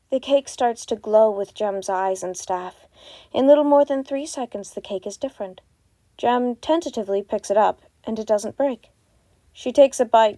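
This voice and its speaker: A woman's voice: fairly high pitch, darkish tone, dry recording. A woman narrating a fan fiction story as an amateur audio reading. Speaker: podfic narrator.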